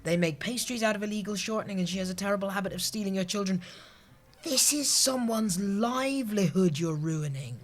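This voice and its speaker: A woman's voice: medium pitch, a little fast, natural voice. A woman playing an earnest, disapproving, rule-abiding office colleague in an audio sitcom, prim and wordy. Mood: indignant.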